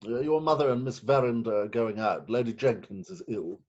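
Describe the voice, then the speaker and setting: A man's voice: medium pitch, a little fast, a little mellow, muffled recording, close to an everyday voice. A man playing a fussy, dithering middle-aged British magistrate and indulgent stepfather in a stage farce. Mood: mild. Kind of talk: theatrical staged reading of Victorian farce. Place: home, recorded remotely.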